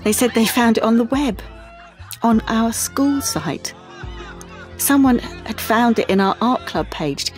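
An actress playing a sensitive teenage art student, confiding haltingly in an audio drama monologue. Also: female voice, on the high side, lively intonation, faint music behind. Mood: anguished.

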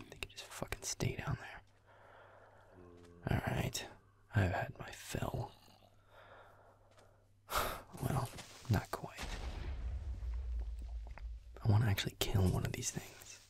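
A man playing a grumpy, foul-mouthed young werewolf boyfriend, muttering sullenly in a breathy, gravelly whisper in an intimate roleplay audio. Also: fairly low pitch, slow pace, pauses often. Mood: grumbling, weary. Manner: sullen muttering, swearing, sarcastic.

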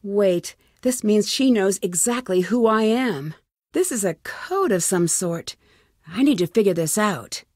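A woman's voice: medium pitch, animated, a little slow, darkish tone, dry recording. A plucky curious girl detective in a pc game, talking herself thru clues, earnest, dry studio sound. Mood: focused. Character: inquisitive, plucky young female amateur sleuth. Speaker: lead character in a detective adventure game. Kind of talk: scripted game dialogue, thinking aloud.

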